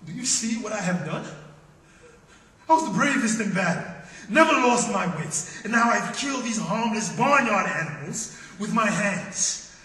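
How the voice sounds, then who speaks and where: A man's voice: high voice, lively intonation, moderate pace, sharp tone. A man in his thirties playing an anguished, shamed warrior of ancient Greece in a dramatic play reading. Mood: anguished, ashamed. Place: large hall, panel table microphones. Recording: echoey hall, distant microphone.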